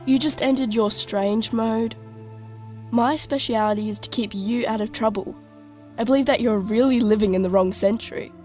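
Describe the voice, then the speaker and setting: A woman's voice: a little high, normal speed, darkish tone, soft background music, close to an everyday voice. A young woman playing a worldly, teasing, sarcastic teenage girl in an audio drama. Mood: exasperated, teasing. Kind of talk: scripted audio drama dialogue.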